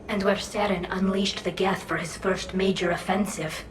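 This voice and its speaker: A woman voicing an earnest, gentle young alien engineer in a video game, through a helmet filter. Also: mid-range voice, fairly even intonation, normal speed. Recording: roomy echo, clear background noise.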